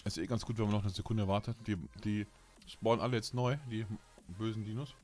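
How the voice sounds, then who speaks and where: A man's voice: lowish voice, fairly even intonation, fairly quick. A man chatting casually on a gaming live stream, teasing and bantering playfully in crude, slangy language. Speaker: game streamer.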